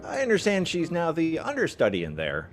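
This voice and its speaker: Lively, higher-voiced cheerful bridegroom played by a man. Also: a little fast, soft background music. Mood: curious. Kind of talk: radio play acting.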